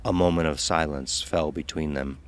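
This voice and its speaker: A man narrating a scripted dark audio story. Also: a bass voice, fairly even intonation, normal speed, clear, resonant tone.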